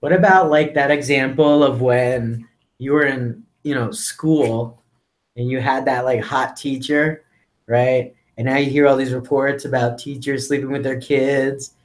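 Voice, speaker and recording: A man's voice: medium pitch, fairly even intonation, a little slow. A man co-hosting a podcast in casual, joking conversation. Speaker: podcast co-host. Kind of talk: casual chat.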